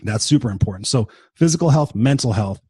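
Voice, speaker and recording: Husky, blunt fifty-something man. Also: mid-range voice, lively intonation, fairly quick, warm tone, no echo. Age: 50s. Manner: blunt and profane, emphatic repetition. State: tired and sick. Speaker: motivational podcast host. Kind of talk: unscripted motivational monologue.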